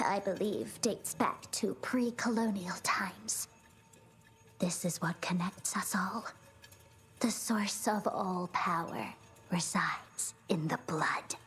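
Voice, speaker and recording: An actress playing a sinister, devoted villainess in a video game cutscene, speaking solemnly in a breathy hush. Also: female voice, mid-range voice, close to an everyday voice, rough recording. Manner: breathy, hushed, solemn, deliberate. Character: sinister, reverent villainess, a scheming devoted daughter.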